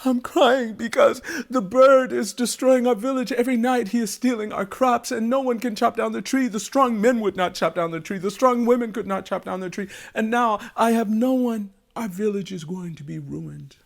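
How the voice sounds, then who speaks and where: A man's voice: high voice, very animated, unhurried, a little mellow, voice pushed up, exaggerated voice. A man voicing an emotional village king in a told folktale, with a theatrical, exclaiming delivery. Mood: tearful despair. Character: distraught, dramatic village king, pleading and wailing.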